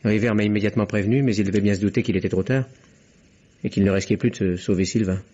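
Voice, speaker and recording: A man's voice: on the low side, steady tone, fast, warm tone, natural voice. A man playing a shrewd, composed investigator in a French radio mystery drama, explaining things in an assured, matter-of-fact way. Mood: composed, explanatory. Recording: muffled lo-fi vintage radio recording.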